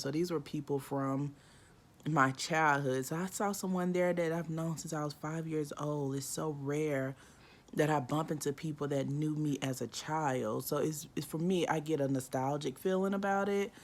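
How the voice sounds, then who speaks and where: A woman in her early forties talks to camera in a loose, reflective way, full of "like" and "um." Her voice sits fairly low. When she acts out what someone thinks, she jumps sharply up in pitch. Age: 40s. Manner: frequent "like" and "um" fillers. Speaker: vlogger. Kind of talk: casual reflective monologue.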